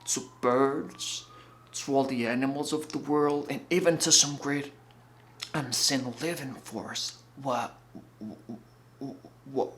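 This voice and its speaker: A man playing an exalted, feverish visionary in a dramatic monologue, halting and grandiose, breathy and often close to a whisper. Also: medium pitch, steady tone, a bit bright, slight background noise. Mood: hushed exaltation.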